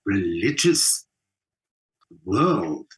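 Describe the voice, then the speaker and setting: A man with a South African accent and a fairly low, husky voice talks in a reflective interview. He sounds earnest and opinionated, and tends to act out the exchanges he quotes. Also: very animated, very slow, poor audio. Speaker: writer and documentary maker being interviewed.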